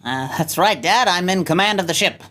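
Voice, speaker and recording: Woman acting as dutiful male ship commander, affectionate son, lower pitch, animated intonation, sci-fi radio drama. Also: fairly quick. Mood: easygoing, proud. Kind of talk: cold-read sci-fi radio play.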